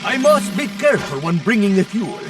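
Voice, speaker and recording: A man's voice: sits high, sing-song, fairly quick, distant mic, background noise. A man playing a boastful, melodramatic Mexican-accented racing plane hero in a video game, grandiose and theatrical. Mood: triumphant, boastful. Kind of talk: video game character acting.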